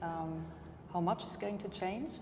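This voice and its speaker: A woman's voice: lowish voice, measured pace, mellow. A woman from Austria interviewing a guest, hesitant, with many fillers. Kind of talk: conference interview. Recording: roomy hall with ambient noise.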